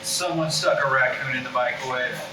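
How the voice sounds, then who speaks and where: A man at a comedy table read playing a scatterbrained, defensive podcast co-host, halting and rambling yet emphatic. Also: mid-range voice, steady tone, close to an everyday voice. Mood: sheepish. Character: Dom, scatterbrained, defensive male podcast co-host with anger issues. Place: indoor room at a table read. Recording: echoey room, distant mic, background noise.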